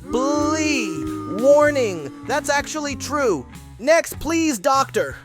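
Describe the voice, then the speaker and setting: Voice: high-pitched, big pitch swings, extremely slow, faint music behind, heavily acted. A performer playing the wry, teasing host of a children's puppet comedy show, with scripted sarcastic banter. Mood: sceptical.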